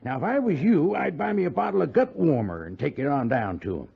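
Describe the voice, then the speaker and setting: Creaky, high-voiced sly Western old-radio hand. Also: male voice, very animated, a little fast, exaggerated voice. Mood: sly, folksy. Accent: American Western. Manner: drawling, garrulous, salesmanlike. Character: folksy, sly male frontier stagecoach station attendant. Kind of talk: 1950s radio western acting. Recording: muffled, hissy 1950s radio recording.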